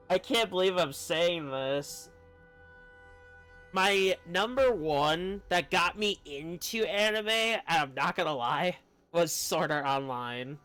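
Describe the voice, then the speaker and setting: A man chatting casually on a game livestream, rambling and halting as he talks. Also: on the high side, bright, forceful tone, smooth. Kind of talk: casual chat while streaming a game. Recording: game music behind the voice. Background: video game music.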